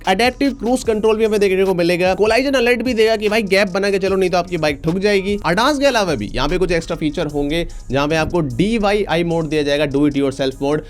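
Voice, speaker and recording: A man's voice: high voice, a little fast, hardly any pauses. A man with an Indian accent explaining things informally to viewers as a video host, talking to them like buddies. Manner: colloquial, addresses viewers as buddies. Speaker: tech video host reviewing electric scooters.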